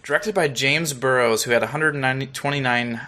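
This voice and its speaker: Bright-voiced American man chatting casually. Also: medium pitch, brisk. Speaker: podcast co-host. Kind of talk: casual chat, reviewing a TV episode.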